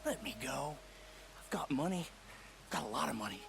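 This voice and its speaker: A man's voice: medium pitch, animated, a little fast, vocal fry, noisy background. A man playing a slippery, wheedling outlaw who bargains and pleads in a video game western. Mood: desperate pleading. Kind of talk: video game cutscene acting.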